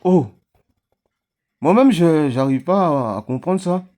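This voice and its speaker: A man chatting casually at home, telling a story in a halting, hesitant way. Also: on the high side, animated, darkish tone. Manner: hesitant, halting, many fillers. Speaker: vlogger.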